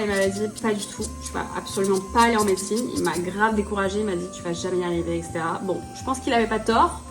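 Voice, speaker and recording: A young woman, a native English speaker, tells her life story in a relaxed, chatty, slangy vlog manner. Her voice sits at an ordinary middle pitch. Clear music plays behind her. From born in France, raised in London. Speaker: vlogger. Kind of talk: casual storytime while doing her nails.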